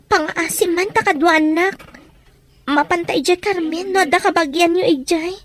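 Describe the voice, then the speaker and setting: A young Ilocano daughter in a radio drama. Her voice sits extremely high, and she speaks earnestly and pleadingly, worried for her siblings. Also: moderate pace. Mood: puzzled, searching.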